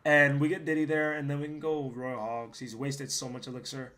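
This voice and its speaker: A young man streams his game with a hoarse, worn-out voice that still has a bright, forceful edge. He rambles casually and swears freely between plays. He sometimes breaks into loud yells. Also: mid-range voice, flat delivery, a little fast. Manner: profane, rambling, bursts into loud yelling. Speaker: gaming streamer. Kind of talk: casual live gameplay commentary.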